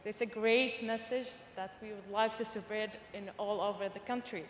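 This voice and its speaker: A woman's voice: mid-range voice, fairly even intonation, normal speed, a little hiss or hum. A young woman in her twenties, a graduate student, politely asking a panel a formal question from the audience. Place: conference hall. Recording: echoey hall, faint ambience.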